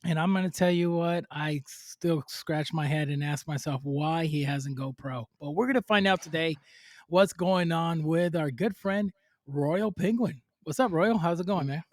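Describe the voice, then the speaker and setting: Man, podcast host, slightly high pitch, hyped, repetitive, dry close sound. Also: normal speed, no echo. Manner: hyped, playfully exaggerated, repeats himself. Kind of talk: casual interview chat.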